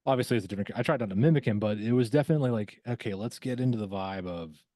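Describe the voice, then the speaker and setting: A man who works as a voice actor, talking casually as a podcast guest, reflective and halting, often repeating words as he finds his way. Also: fairly low pitch, warm tone, no room sound, studio quality.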